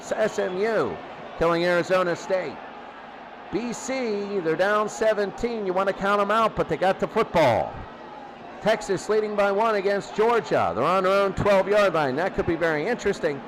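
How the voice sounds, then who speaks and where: A man doing excitable live football play-by-play in a radio style, with conversational asides between plays. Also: sits high, noisy background. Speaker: play-by-play commentator of a simulated football tournament.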